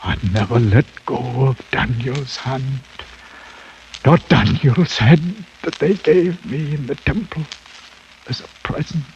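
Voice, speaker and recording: Crazed broken drifter, super slow n creaky, haunted rambling, old radio drama. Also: male voice, mid-range voice, a little mellow. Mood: haunted, reverent. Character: broken, half-crazed adventurer, a ragged wandering British beggar. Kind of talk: 1940s radio acting. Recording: noisy old radio recording, muffled band.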